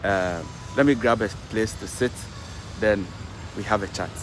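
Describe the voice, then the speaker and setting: A man from Ghana with a Ghanaian English accent, talking through career tips in a measured, explanatory way, often pausing with "uh" and "um". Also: on the low side, noisy background. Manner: measured, explanatory, frequent "uh" and "um" pauses. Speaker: vlogger, science teacher. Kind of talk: casual advice vlog. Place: outdoors in an apartment garden, later seated.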